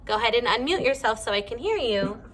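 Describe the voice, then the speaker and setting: A woman teacher reading a storybook aloud to young children, pausing to ask them simple questions. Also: on the high side, expressive, a bit bright, a little hiss or hum.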